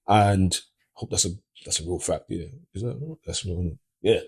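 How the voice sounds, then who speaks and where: A man's voice: low voice, moderate pace, husky. A man with a London accent speaking emphatically in a casual group chat, often checking that he is understood. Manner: animated, emphatic, keeps asking do you know what I mean. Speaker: panel guest. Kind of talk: casual discussion.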